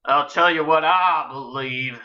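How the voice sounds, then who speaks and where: A woman voicing a gruff, scornful cowboy with a blunt, growling delivery in a story reading. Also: sits low, a little slow, muffled recording.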